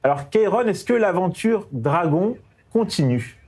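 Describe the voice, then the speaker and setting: A man's voice: sits high, big pitch swings, measured pace, darkish tone. A man hosting a TV studio debate, introducing guests and prompting them with brief acknowledgements. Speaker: TV debate host.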